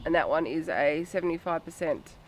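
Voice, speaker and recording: A woman's voice: low-pitched, a little fast, low-quality recording. A woman, nasal and getting over bronchitis, chatting casually as a podcast host while showing off her yarn. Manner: chatty, rambling, frequent ums. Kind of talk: casual show-and-tell chat. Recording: steady background noise.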